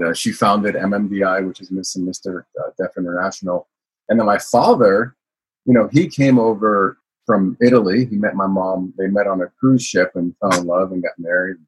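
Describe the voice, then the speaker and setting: A man with a very husky, breathy voice sitting lower than most, talking as an interview guest. He is from the United States and tells his story loosely, drifting along with frequent fillers. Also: poor audio. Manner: rambling, frequent "you know" fillers.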